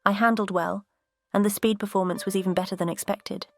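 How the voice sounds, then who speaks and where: A woman voicing a composed vintage aircraft character, telling her story in a measured, earnest cartoon dialogue style. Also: medium pitch, fairly even intonation, vocal fry, lightly acted. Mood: earnest recounting. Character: composed, bitter but grateful female vintage jet museum exhibit.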